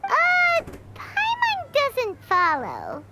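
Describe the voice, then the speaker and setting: A woman voicing a small, perky, outspoken childlike companion creature in a video game, with a squeaky voice. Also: huge pitch swings, very slow, heavy background noise, heavily acted. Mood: puzzled. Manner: speaks of self in third person, exclamatory.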